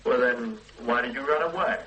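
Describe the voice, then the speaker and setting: A man's voice: mid-range voice, normal speed, dull audio, natural voice. An actor playing a methodical male police detective in a radio play, asking formal, politely probing questions. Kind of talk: 1970s-80s radio play acting.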